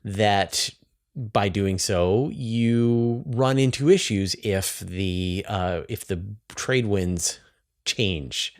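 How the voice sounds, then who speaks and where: A man's voice: on the low side, very slow. A man with a Canadian accent hosting a conversational interview, feeling his way through his questions and rephrasing as he goes. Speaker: interviewer and host.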